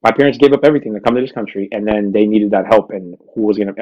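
A man's voice: on the low side, rapid-fire, mellow, highs cut off. A man hosting a podcast, chatting in a casual, informal, slangy interview style. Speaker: podcast host.

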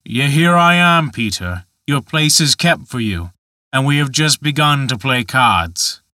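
A big, burly gambler deals cards and calls stakes in a loud, bluff voice, as a male storyteller puts him on. The sound is bright and sharp, and the recording close and dry. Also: mid-range voice, lively intonation, measured pace, no room sound.

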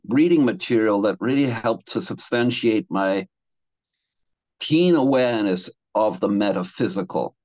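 A man's voice: lowish voice, darkish tone, dry recording. A man in his seventies from England, telling his life story as a guest in a casual interview, rambling with restarts and laughing between thoughts. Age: 70s.

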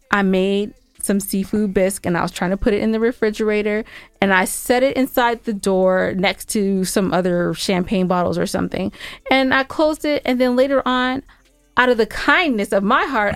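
A woman's voice: mid-range voice, clear, resonant tone, no echo. A woman co-hosting a podcast in casual, playfully argumentative banter, speaking with emphasis. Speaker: podcast co-host. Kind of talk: casual bantering chat with husband.

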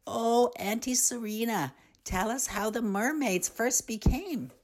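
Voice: medium pitch, takes it slowly, voice pushed up. A performer with a feminine-sounding voice playing an eager, sweet little mergirl who wheedles and begs in a storybook tale. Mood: pleading. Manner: wheedling, begging.

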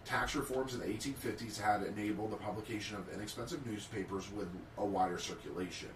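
Guy reading history notes off a page, lowish voice, echoey room, kinda far from the mic. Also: quick pace, a bit bright, slight background noise. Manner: reads prepared history notes with small slips. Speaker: podcast co-host. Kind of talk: casual chat and reading notes aloud. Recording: roomy, off-mic sound.

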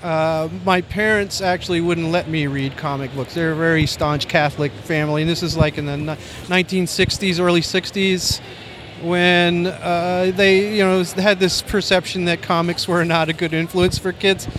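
An American man in his sixties from Maine with a soft voice, reminiscing casually and a bit ramblingly in an interview. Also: sits high, fairly even intonation, normal speed. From Maine, United States. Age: 60s. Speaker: comic book artist, interview guest. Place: busy convention floor. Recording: faint convention floor noise.

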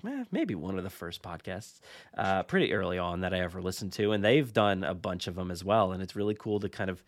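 Low-voiced man chatting as podcast host. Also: lively intonation, brisk, no echo, studio quality. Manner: rambling, informal, enthusiastic about the subject. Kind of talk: casual podcast chat.

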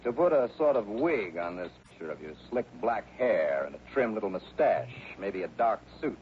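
An American actor playing a wry, confident private investigator who narrates his case in a brisk 1960s radio drama style. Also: lowish voice, very animated, slight background noise. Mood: sardonic. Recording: dull vintage radio recording with music.

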